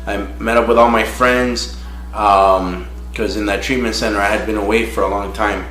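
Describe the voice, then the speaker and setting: A man from Miami sharing his personal recovery testimony in a frank, halting way, with many "you know" fillers. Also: lowish voice, fairly even intonation, fairly bright tone. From Miami, United States. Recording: roomy echo with faint music.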